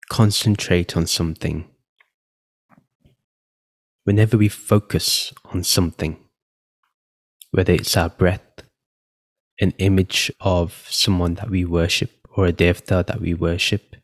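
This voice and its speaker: Low-voiced man guiding a meditation. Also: fairly even intonation. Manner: gentle, unhurried, pausing between phrases. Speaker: meditation guide and podcast host. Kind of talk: guided meditation, spoken instruction.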